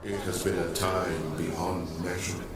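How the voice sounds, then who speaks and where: An actor playing an ancient, lonely exiled lord in an audio drama, grave, theatrical and drawn-out. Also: male voice, low-pitched, fairly even intonation. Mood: bitter, mournful. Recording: cavernous echo, distant, music underneath.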